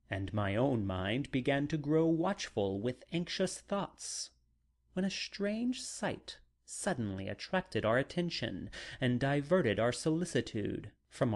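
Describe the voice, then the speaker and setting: Literary narrator: measured dramatic reading, very animated intonation, slow tempo. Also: mid-range voice. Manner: measured, deliberate phrasing. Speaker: audiobook narrator.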